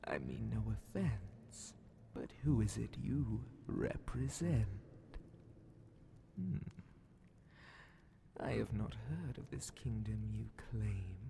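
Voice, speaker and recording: A man voicing a sly, menacing demon Grand Vizier of hell in a breathy, theatrical half-whisper for an ASMR horror fantasy roleplay. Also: on the low side, dark tone, a little hiss or hum, full character voice. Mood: wary, courteous. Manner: slow, theatrical, drawn-out, mockingly polite.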